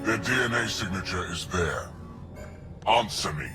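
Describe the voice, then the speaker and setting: A man's voice: low-pitched, lively intonation, measured pace, over loud music. A man playing an imperious, threatening alien warlord in a video game, speaking with a commanding manner. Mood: menacing.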